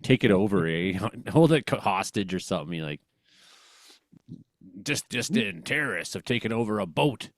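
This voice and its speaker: A man's voice: fairly low pitch, lively intonation, moderate pace, dry recording, clean studio sound. A man with a Western Canadian accent hosts a comedy weather show in casual, rambling, slangy chat, joking and exclaiming as he comments.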